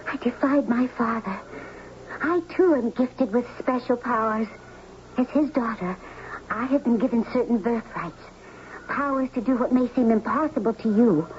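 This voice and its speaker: A woman's voice: fairly high pitch, moderate pace, a little mellow, natural voice. An actress playing a tender, lovestruck young woman from the sea in a 1970s radio drama, speaking in earnest romantic declarations. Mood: tender, intense. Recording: noisy, muffled 1970s radio recording.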